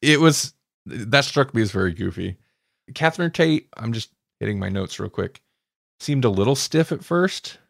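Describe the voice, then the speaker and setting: A man co-hosting a podcast, talking casually and with an amused, animated manner. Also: medium pitch, moderate pace, vocal fry, no room sound, clean studio sound. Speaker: podcast co-host reviewing audio dramas. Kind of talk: casual chat.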